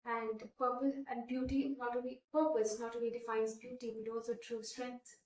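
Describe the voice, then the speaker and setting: A woman's voice: a little high, level tone, moderate pace, a little mellow, distant mic. Earnest young Indian woman, echoey room. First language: Hindi. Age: 20s. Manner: earnest, hesitant with frequent fillers and restarts. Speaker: interviewee. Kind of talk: casual interview answers.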